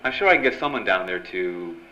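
Lively, brash radio-drama reporter, muffled. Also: male voice, deep voice, brisk. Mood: eager. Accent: American. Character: brash, thrill-seeking young male newspaper reporter, smooth-talking. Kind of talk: 1940s-style radio drama acting. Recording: dull lo-fi sound, loud music behind.

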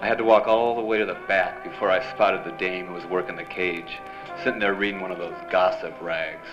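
A man's voice: low voice, steady tone, a little fast, music in the background, natural voice. An actor playing a wisecracking, hardboiled newspaper reporter in a noir radio drama, with wry tough-guy slang. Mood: wry narration. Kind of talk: noir radio drama acting with first-person narration. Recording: dull, noisy live radio-play recording.

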